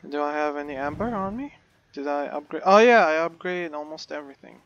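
A man's voice: on the high side, fairly quick, fairly bright tone, clean tone, lo-fi sound. A man hosting a casual game let's play, rambling and hesitant, his voice a bit crackly. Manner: rambling, hesitant with many fillers. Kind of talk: casual gameplay commentary.

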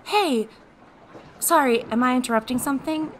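A woman's voice: high-pitched, moderate pace, a little hiss or hum, close to an everyday voice. A woman playing a plucky, loyal, modern teenage girl in a fantasy audio drama, breezy and informal.

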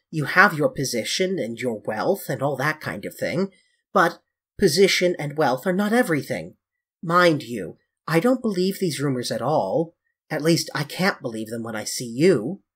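A male reader plays a grave, concerned gentleman in a storybook. He speaks in a solemn, measured, pleading way, with a husky edge and expressive pitch movement. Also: exaggerated voice. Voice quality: deep. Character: grave, earnest, concerned English gentleman painter.

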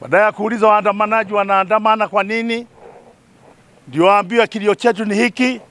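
A man's voice: sits high, fairly even intonation, quick pace, a bit bright. A man in his sixties with a Kenyan accent giving an oratorical press statement outdoors, repeating phrases. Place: outdoors among a group of people. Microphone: cluster of handheld press microphones.

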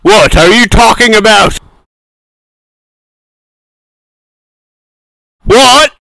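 Man playing an earnest young assistant, bright voice. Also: high voice, expressive, unhurried, creaky, dry recording, heavily acted, poor audio. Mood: indignant. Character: earnest, exasperated young male detective's assistant. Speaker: voice actor in fan dub. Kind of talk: anime fan dub acting.

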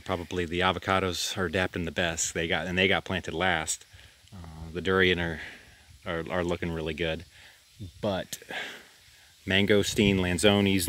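A man giving a relaxed, rambling tour of his farm by a creek, filmed on a handheld camera outdoors. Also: sits low. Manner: rambling, relaxed, self-deprecating with restarts. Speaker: farm vlogger. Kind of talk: casual walk-and-talk farm tour.

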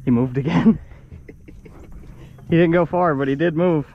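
A man's voice: a little high, measured pace, dull audio, slight background noise. A young man on a small boat filming his fishing trip, chatting and exclaiming as he fights a catch. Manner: excited, exclaiming over the catch. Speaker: fishing vlogger. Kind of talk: casual chat while fishing. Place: small boat on open water outdoors.

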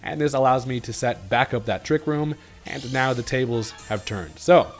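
A man's voice: mid-range voice, moderate pace, faint music behind. A man giving casual, joking commentary over his own game battle, his voice still a little off from a recent illness. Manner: laid-back, jokey, swears casually. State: recovering from illness, voice slightly off. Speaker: gaming commentator.

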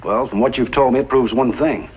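A man's voice: medium pitch, fairly quick, a little mellow, a little hiss or hum, lightly acted. A man acting a bossy, scheming gunrunner gang leader in a 1950s radio western, commanding and calculating. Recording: muffled vintage radio recording.

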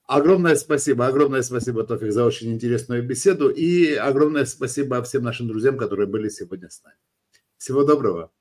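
An older man hosts a video call from his room at home, chatty and easygoing as he puts viewers' questions to his guest. His voice sits at an ordinary pitch, picked up by a webcam. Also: fast talker, a little mellow. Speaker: host. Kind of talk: casual interview over video call.

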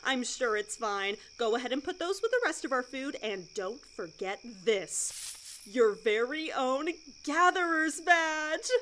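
A woman's voice: sits high, lively intonation, measured pace, bright, forceful tone, slight background noise, full character voice, rough recording. An actress playing a relentlessly cheery, blithely macabre female scout leader in an audio drama, chirpy and instructing.

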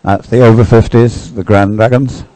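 A man giving live, unscripted sports commentary in a halting, thoughtful way. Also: lowish voice, fairly even intonation, warm tone, dry recording, poor audio.

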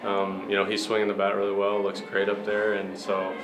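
A man's voice: fairly low pitch, monotone, fairly quick. A young man, a baseball player, answering post-game interview questions in an upbeat, humble way with many fillers. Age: young adult. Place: ballpark media room in front of a sponsor backdrop. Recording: echoey room with music behind. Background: music playing in the clubhouse.

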